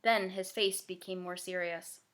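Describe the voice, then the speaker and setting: A woman narrating a children's novel as a scripted, expressive read-aloud. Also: on the low side, a little slow.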